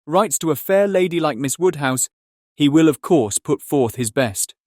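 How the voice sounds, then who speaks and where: A man playing a critical, opinionated gentleman in an audiobook drama, with a British accent and a dry, disapproving manner. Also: on the high side, lively intonation, normal speed, dry recording, natural voice. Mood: dryly sarcastic. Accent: British English. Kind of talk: dramatized classic novel audiobook.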